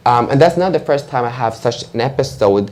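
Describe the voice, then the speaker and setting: A young adult man from Georgia, speaking English as an as a guest on a live panel, candid and animated with many fillers. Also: medium pitch, quick pace. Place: event room with audience.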